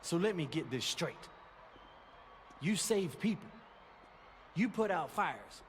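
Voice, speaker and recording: A self-important costumed superhero speaks with pompous, theatrical suspicion. The voice sits fairly high for a male. It is game cutscene dialogue over clearly audible background noise. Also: lively intonation, takes it slowly. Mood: smug, probing. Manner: pompous, mock-heroic. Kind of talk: video game cutscene acting.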